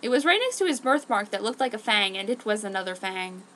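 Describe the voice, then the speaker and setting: A woman's voice: medium pitch, big pitch swings, quick pace, a bit bright. Woman giving a mock-dramatic story reading, noisy recording. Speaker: amateur fan fiction reader. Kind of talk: dramatic reading of fan fiction.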